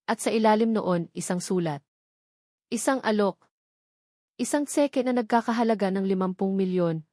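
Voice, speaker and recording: A woman's voice: medium pitch, a little mellow, dry recording, studio quality. A woman reading scripted dramatic narration of a romantic story.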